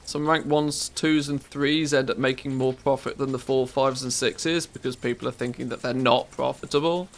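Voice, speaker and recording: An English man with a scratchy, harsh voice and a gravelly edge running through his words. He chats casually to his stream audience, rambling and correcting himself mid-sentence. He speaks at an everyday middle pitch into a good studio mic. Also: steady tone, moderate pace, hardly any pauses. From England. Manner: rambling, self-correcting, musing to chat. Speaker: live streamer. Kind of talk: casual live-stream chat while playing. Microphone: good studio microphone.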